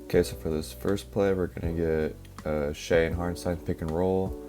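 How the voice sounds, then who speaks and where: A young man, a little hoarse from a cough, casually breaking down basketball plays with lots of fillers. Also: low voice, normal speed. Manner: relaxed, filler-heavy, explaining step by step. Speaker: basketball analysis creator. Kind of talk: casual play-by-play film breakdown. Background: background music.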